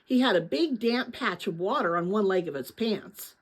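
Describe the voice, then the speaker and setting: A woman reading a children's novel aloud as dramatic, expressive story narration. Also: medium pitch, moderate pace. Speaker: audiobook-style reader of a children's novel.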